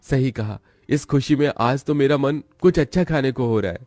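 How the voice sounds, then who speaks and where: A man's voice: mid-range voice, moderate pace, a little mellow, no echo, natural voice. A man voicing a poor, earnest village farmer and family man in a cartoon story. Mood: content.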